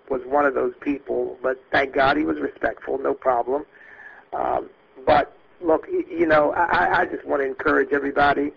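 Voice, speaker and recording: A man speaking as host of a conference call, giving an earnest, emphatic political speech with halting repetitions and a gravelly, husky voice. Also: mid-range voice. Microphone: phone conference line. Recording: muffled lo-fi conference call audio.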